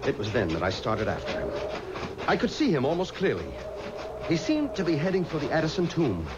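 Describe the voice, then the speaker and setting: A man's voice: on the high side, huge pitch swings, fairly quick. An actor playing a stubborn, God-fearing townsman in an earnest, insistent, theatrical 1970s radio drama style. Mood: suspenseful recounting. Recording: muffled old radio recording with noisy ambience.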